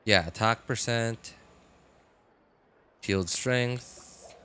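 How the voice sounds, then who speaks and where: A man hosting a game livestream, rambling casually and excitably, exclaiming and musing aloud. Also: mid-range voice, extremely slow, slight background noise. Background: game music.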